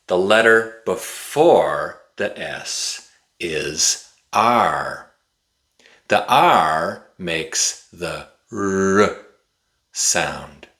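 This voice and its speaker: A man in his forties giving an English pronunciation lesson to camera, enunciating deliberately and carefully like a teacher. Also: sits low, pushed and bright. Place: small indoor room with bookshelf.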